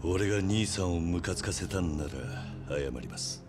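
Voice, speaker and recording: An actor playing a stoic, principled yakuza lieutenant in a video game, speaking in a restrained, terse, resolute way. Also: male voice, deep voice, normal speed, natural voice. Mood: resolute, calm. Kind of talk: dramatic game cutscene acting. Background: background music.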